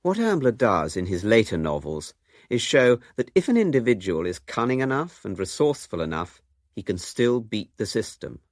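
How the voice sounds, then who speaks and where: Male narrator, low pitch, lively intonation, scripted radio documentary delivery, dry studio sound. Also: normal speed, dry recording.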